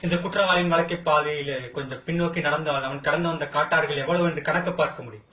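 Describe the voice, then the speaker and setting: A man performs as a wronged brother defending himself in a film courtroom drama. His fairly high voice declaims with defiant, impassioned rhetoric and dramatic pauses. The sound rings with clear room echo. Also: steady tone, pushed and bright. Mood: wounded, proud. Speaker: amateur actor practising. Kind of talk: theatrical Tamil film courtroom monologue. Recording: echoey room.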